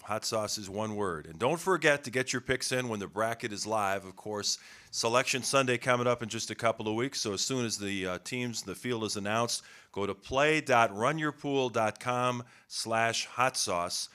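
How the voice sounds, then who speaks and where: A man's voice: medium pitch, normal speed, bright, forceful tone, smooth. A man co-hosting a sports podcast, giving a sponsor read with a polished, announcer-like delivery. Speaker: podcast co-host.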